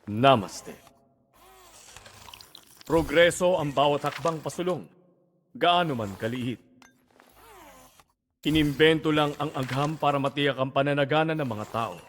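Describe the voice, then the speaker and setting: A man's voice: on the high side, measured pace, pauses often, close to an everyday voice. A man voicing a humble, earnest male engineer in a video game, formal in delivery, with reverb and faint noise. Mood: earnest, resolute. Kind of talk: game character voice lines.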